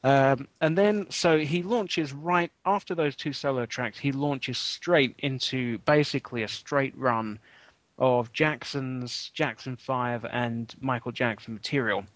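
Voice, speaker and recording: A man's voice: medium pitch, slow pace, no echo. Man, podcast co-host, casual wry chat, dry close mic. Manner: chatty, wry storytelling with many fillers.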